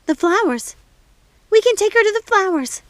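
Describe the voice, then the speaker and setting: Storybook young heroine, played by a female reader: high, urgent, distraught, defiant, recorded dry and close. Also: expressive, moderate pace, a bit bright, no echo. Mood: urgent hope. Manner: urgent, impassioned pleading.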